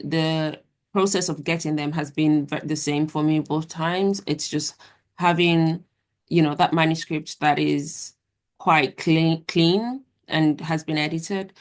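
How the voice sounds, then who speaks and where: Low flat-voiced young woman talking about her writing, measured, lots of ums. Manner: measured, thoughtful, with fillers. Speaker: author, guest. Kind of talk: casual interview answers.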